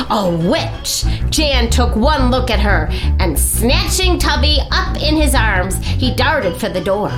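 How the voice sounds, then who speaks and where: A woman narrating a children's storybook as a library storyteller, in a dramatic, deliberate and expressive way. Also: high voice, low-quality recording.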